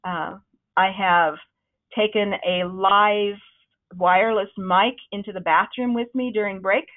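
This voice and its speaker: A woman leading a webinar on presenting, with a loud, projecting voice that is starting to wear out, talking in a conversational, encouraging way with frequent ums. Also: mid-range voice, fairly even intonation, a little slow. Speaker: presentation trainer. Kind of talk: webinar talk. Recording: narrow, phone-like webinar audio.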